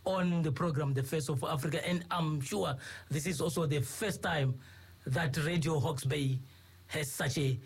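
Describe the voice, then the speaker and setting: Husky, courteous male radio host. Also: fairly high pitch. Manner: formal, courteous, many fillers and repeated phrases. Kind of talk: radio interview hosting. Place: radio studio.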